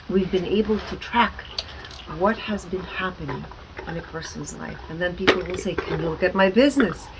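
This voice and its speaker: A woman speaking earnestly and deliberately as an interview guest, explaining her work with emphatic stresses. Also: on the low side, background noise. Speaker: guest, alternative wellness practitioner.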